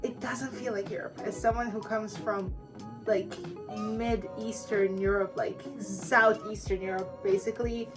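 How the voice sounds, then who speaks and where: A woman's voice: medium pitch, steady tone, a little slow, music in the background. A young woman from Croatia, a co-host and student, talking frankly and casually. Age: young adult. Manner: frank and easygoing, hedging with "like" and "just". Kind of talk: casual chat.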